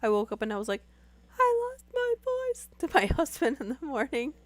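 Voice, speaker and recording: A woman's voice: high voice, sing-song, normal speed, dry recording. A young woman chatting casually with live viewers while losing her voice to a sore throat, rambling with many fillers. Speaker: streamer.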